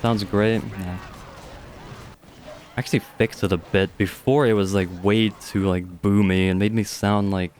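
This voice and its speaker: A young man with a deep voice doing casual, chatty live commentary on a strategy game match, speaking into a studio microphone and swearing offhandedly. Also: steady tone, a little slow, darkish tone, clear, resonant tone. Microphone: studio dynamic microphone.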